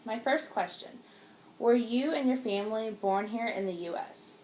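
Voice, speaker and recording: A woman's voice: a little high, normal speed, darkish tone, reverberant, a little hiss or hum, low-quality recording. A woman interviewing a guest, asking short questions and follow-ups. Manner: brief prompts and follow-up questions.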